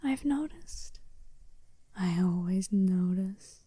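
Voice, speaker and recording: Woman playing a slow, soft, mellow seductive werewolf bride. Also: mid-range voice, animated, no echo, pitched below the performer's own voice. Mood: teasing, confident. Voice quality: soft-spoken, breathy half-whisper. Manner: slow, teasing, deliberate, intimate. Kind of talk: ASMR roleplay.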